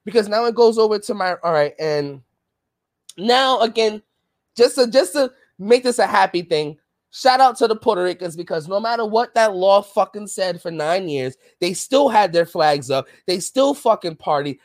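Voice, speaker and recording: Ranting podcast co-host, heavy swearing, mid-pitched voice, dead-dry close sound. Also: lively intonation, normal speed, dry recording. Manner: passionate, ranting, swears often. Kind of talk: casual political chat.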